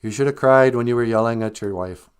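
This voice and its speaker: A man's voice: mid-range voice, fairly quick, clear, resonant tone, lightly acted. A man playing a great spirit, stern but easygoing and plain-spoken, in a folktale told aloud. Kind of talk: oral folktale storytelling.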